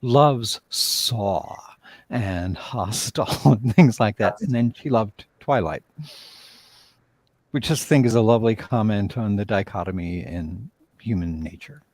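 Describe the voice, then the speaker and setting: A man with a husky voice speaks in a dry, close-miked sound. He makes measured, wry remarks in a casual interview. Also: lowish voice, a little mellow, no echo. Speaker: writer and director.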